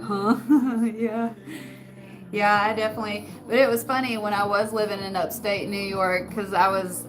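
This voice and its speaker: A soft-spoken woman with a rural Western American accent, chatting casually with her viewers and laughing often. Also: mid-range voice, low-quality recording. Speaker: channel host. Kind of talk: casual live chat answering comments. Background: background music.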